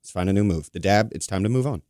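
A man's voice: deep voice, fast talker, a little mellow, dry recording, studio quality. A man co-hosting a podcast, trading jokes and teasing banter in casual chat. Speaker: podcast co-host.

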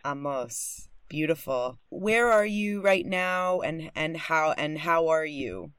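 A young woman with a low-pitched voice hosts a casual podcast, candid and full of cheerful swearing. She laughs easily and speaks close to the mic. The recording is dry and tight, made in a home closet. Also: lively intonation, takes it slowly, fairly bright tone, clear, resonant tone, no echo. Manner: candid, profane, bubbly, laughs often. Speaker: podcast host. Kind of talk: casual podcast intro and interview. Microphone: studio mic close up.

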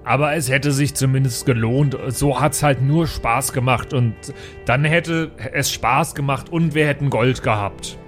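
A man's voice: mid-range voice, measured pace, faint music behind, natural voice. A man playing a simple, fight-loving dwarf brother in an improvised fantasy audio drama, blunt and teasing. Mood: defiant.

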